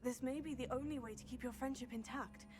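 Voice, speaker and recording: A young woman playing a composed, diplomatic teenage witch in a video game, measured and articulate. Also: high voice, steady tone, over music, lightly acted. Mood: persuasive. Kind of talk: dramatic video game voice acting.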